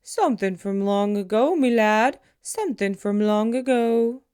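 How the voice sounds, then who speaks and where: A woman plays an old storybook man, a folksy teller of scary tales. He speaks up loudly and leans on his words with emphasis. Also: a little high, a little slow, clear, resonant tone, no echo, clean studio sound, lightly acted. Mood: mysterious, emphatic.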